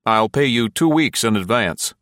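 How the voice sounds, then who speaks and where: A man voicing a terse, guarded American husband in an audiobook, crisp and curt. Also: deep voice, fairly even intonation, unhurried, a bit bright, no echo, studio quality. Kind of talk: audiobook character voice.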